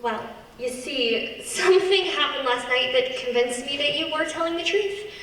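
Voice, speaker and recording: A woman's voice: high-pitched, moderate pace, a bit bright. A woman acting a feisty, stubborn young woman in a radio play, with spirited, argumentative delivery. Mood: breezy. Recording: echoey hall, distant mic, noisy.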